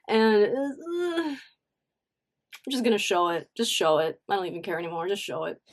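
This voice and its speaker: A woman giving a casual, confessional storytime as a vlogger, animated and emphatic, slangy, acting out what people said. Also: on the high side, creaky. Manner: animated, emphatic, acts out reported dialogue; informal slangy talk with swearing. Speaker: vlogger telling a personal story.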